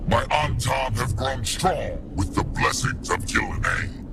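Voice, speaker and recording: A man's voice: mid-range voice, animated, takes it slowly. An actor playing a grandiose, menacing warlord king in a fantasy video game, with a pompous, declamatory delivery. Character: menacing, grandiose male warlord calling himself Dragon King. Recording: loud music over the voice. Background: loud music.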